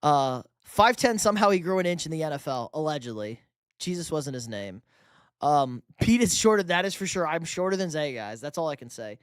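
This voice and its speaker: Young dude on a sports livestream, kinda high voice, cussing, joking, reading chat, dead dry mic. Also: male voice, very animated, a little fast, clean tone, no echo. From New York. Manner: loose, profane, jokey sports banter. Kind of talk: casual live stream chat.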